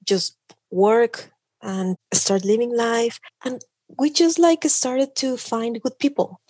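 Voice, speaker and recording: A woman's voice: mid-range voice, a little mellow, slightly rough, no room sound. A middle-aged woman from Mexico with a Mexican Spanish accent, earnestly explaining her work as an image consultant in an interview, with frequent restarts. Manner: earnest, many restarts and "like" fillers. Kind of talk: casual interview talk.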